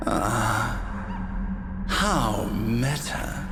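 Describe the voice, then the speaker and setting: A man playing a knowing storyteller, narrating a dark visual novel tale in a deliberate, musing, theatrical manner. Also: medium pitch, over loud music. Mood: intrigued, musing. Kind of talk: scripted dramatic narration.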